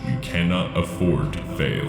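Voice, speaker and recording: An extremely deep, gravelly ancient construct in a sci-fi audio drama. It speaks formally and grandly, with cryptic disdain. The voice echoes over prominent music. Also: male voice, normal speed. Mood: ominous, solemn. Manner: grandiose, formal, ominous pronouncements. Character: ancient, cryptic, disdainful machine-like construct ruling its own domain. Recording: echoing voice under loud music.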